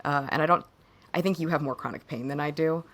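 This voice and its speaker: A hoarse woman co-hosting a podcast, chatting casually and haltingly about personal matters, her voice fading a bit. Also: deep voice. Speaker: podcast co-host.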